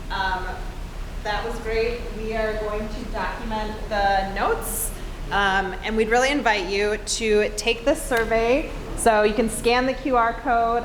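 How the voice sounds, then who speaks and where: A woman facilitating a conference workshop session, upbeat and encouraging as she moves things along on a handheld mic. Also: mid-range voice. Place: conference room. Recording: echoey conference hall, audible room noise.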